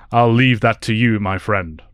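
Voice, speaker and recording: A man playing a wise, reflective professor and mentor in an audio drama, formal and measured. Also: medium pitch, heavy background noise, close to an everyday voice. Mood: warm, hopeful.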